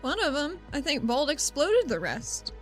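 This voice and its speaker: A woman's voice: high-pitched, big pitch swings, measured pace, faint music behind. A woman playing in a tabletop roleplaying game, chatting in a wry, informal way. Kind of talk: casual roleplay chat.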